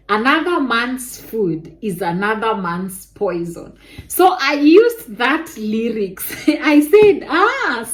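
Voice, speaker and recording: Soft-voiced woman, slow storytelling. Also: mid-range voice. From Kenya. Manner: animated testimony with Kenyan interjections like "eh" and "kai". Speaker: vlogger telling a personal story. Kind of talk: casual storytelling to viewers.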